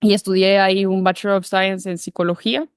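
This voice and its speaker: A woman's voice: medium pitch, little pitch movement, fairly quick, clear, resonant tone, no room sound, studio quality. A woman from Guatemala, a behavior analyst, telling her career path in an interview, thoughtful and full of restarts. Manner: thoughtful, many fillers and restarts. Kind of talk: relaxed interview conversation.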